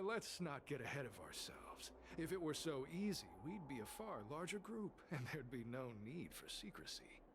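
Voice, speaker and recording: An actor playing a smooth-talking, devout cult recruiter in a video game, speaking in a formal, persuasive and grandiose manner. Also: male voice, on the high side, fairly bright tone, slight background noise. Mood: secretive. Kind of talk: scripted video game dialogue. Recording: game music behind the voice.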